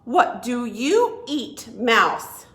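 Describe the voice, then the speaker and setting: A high, bright, exuberant frog from a children's storybook, acted out by a woman. It is boastful and cheery and declaims loudly, in a roomy, echoing space. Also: sing-song, drawn-out words, exaggerated voice. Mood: curious.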